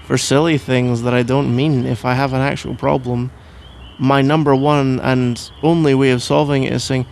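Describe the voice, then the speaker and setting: A man's voice: medium pitch, flat delivery, normal speed, vocal fry, a little mellow, slight background noise, natural voice. A man playing a sleepy, grumbling, playful husband in an ASMR roleplay, rambling and bantering with mock indignation. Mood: exasperated, affectionate. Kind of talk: ASMR roleplay, improvised-sounding one-sided conversation.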